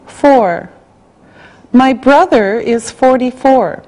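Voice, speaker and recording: Woman, slightly high voice, language-lesson dictation, deliberate, very slow. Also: a little mellow, low-quality recording. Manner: slow, carefully spelled out, evenly paced. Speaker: English teacher reading a listening exercise.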